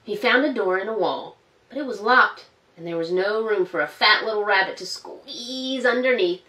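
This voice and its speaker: A woman's voice: mid-range voice, recorded from a distance. Woman librarian reading aloud, roomy sound. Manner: gentle and expressive, pausing to ask children questions. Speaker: school librarian. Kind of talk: reading a picture book aloud to children. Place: indoor room, recorded for an online story time. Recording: roomy, a little off-mic.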